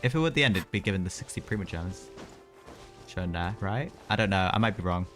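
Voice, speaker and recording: A man's voice: low voice, animated, fairly quick, a bit bright. A man giving casual, rambling live commentary as he plays a game, muttering his reactions. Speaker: game streamer. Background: game music.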